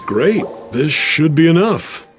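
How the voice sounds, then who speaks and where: An actor playing a friendly, obliging cook in a video game, with an easygoing, sincere manner. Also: male voice, on the high side, big pitch swings, slow pace, soft background music. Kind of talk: video game dialogue acting.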